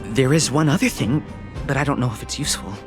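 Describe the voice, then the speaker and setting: A man's voice: medium pitch, fast, over music, lightly acted. A man playing a worried, earnest townsperson in a video game, hesitant and thinking aloud. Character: worried, hesitant townsperson who lost robots. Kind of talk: scripted game voice acting.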